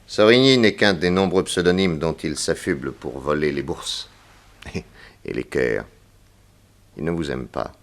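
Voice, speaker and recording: A man playing a grave, persuasive detective in a 1960s French radio serial, formal, deliberate and insistent. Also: a bass voice. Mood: gravely persuasive. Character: grave, persuasive male detective, solemnly warning a young woman.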